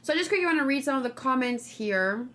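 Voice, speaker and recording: Female let's play host with a fairly high voice; informal, chatty, rambling delivery that thinks out loud. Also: animated, fast talker. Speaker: gaming streamer and host.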